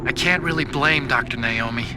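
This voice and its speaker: An actor playing a sensitive, earnest scientist in a video game, speaking in a confessional way. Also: male voice, medium pitch, normal speed, close to an everyday voice. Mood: bitter, wistful. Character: sensitive, earnest male scientist, sorrowful and gentle. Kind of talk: dramatic video game voice acting. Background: loud music.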